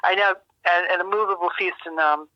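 An older American woman giving a reflective interview over a landline phone, speaking in a halting, thoughtful way as a guest. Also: lowish voice, steady tone, no echo. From rural United States. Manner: halting, thoughtful, with long searching pauses. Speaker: interview guest, author and memoir teacher. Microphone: landline telephone. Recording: dull, narrow landline phone sound.

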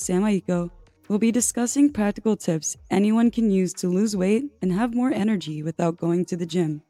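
A woman's voice: medium pitch, warm tone, dry recording. A woman hosting a podcast, introducing her guest and asking questions in an upbeat, encouraging way. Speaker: podcast host.

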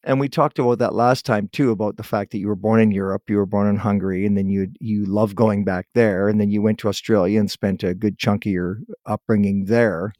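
A man hosts a casual interview podcast, friendly and chatty, often restarting his sentences. His voice sits on the lower side. The sound is dry and close, with no room around it. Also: fairly even intonation, a little fast, hardly any pauses, darkish tone, dry recording. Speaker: podcast host.

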